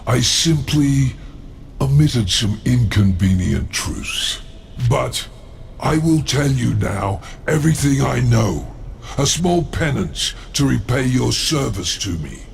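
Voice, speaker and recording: An actor playing a cunning, haughty demon lord in a video game, urbane, measured and condescending. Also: male voice, mid-range voice, animated, vocal fry, a little hiss or hum. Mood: measured, evasive. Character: cunning, haughty male demon lord, coolly self-serving ally. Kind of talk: theatrical video game acting. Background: music.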